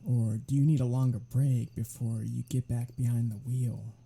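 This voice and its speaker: A performer voicing a teasing, unrepentant vampire in an ASMR roleplay audio drama, with a husky, breathy voice and a sardonic, casual manner. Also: mid-range voice, steady tone, normal speed. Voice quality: husky, breathy, dark-toned. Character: teasing, unrepentant vampire predator travelling with human hunter.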